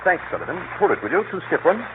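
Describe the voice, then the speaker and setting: An actor playing a breezy, scatterbrained upper-class gentleman in a 1930s radio drama. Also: fairly high pitch, very fast. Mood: breezy, decisive. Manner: breezy, excitable, self-deprecating. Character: chatty, genial upper-class British gentleman, decisive but scatterbrained. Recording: scratchy, tinny 1930s radio broadcast.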